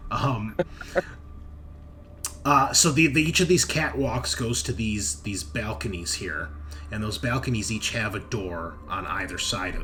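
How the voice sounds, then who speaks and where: A man running a tabletop game, narrating casually and informally, often halting as he thinks aloud. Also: lowish voice. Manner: halting, thinking aloud as map details are checked. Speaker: game master.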